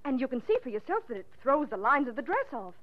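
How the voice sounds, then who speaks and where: Unstable murderous wife, agitated, insistent, very high voice, wildly swooping intonation, 1940s radio mystery. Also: female voice, big pitch swings, quick pace, slight background noise. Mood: obsessive, defensive. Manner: agitated, insistent, obsessively repeating herself. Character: unhinged, boastful murderous wife and dress designer. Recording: muffled vintage 1940s radio recording.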